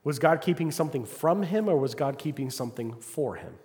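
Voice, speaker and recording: A man preaching a sermon, calling for "amen" from the congregation and swinging between loud, fervent passages and quiet asides. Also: fairly high pitch, expressive, brisk. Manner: call-and-response, asks congregation "Amen?". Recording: long hall reverb.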